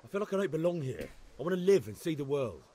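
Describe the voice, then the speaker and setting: A man's voice: on the high side, very animated, fast talker, warm tone, breathy edge. An actor playing a restless, earnest young village man eager for adventure in a medieval video game. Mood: earnest yearning.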